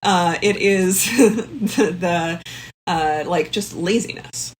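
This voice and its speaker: A young woman, a comedian guesting on a podcast, chatting casually and pausing as she thinks. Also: lowish voice, slight background noise, rough recording. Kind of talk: casual improvised comedy chat.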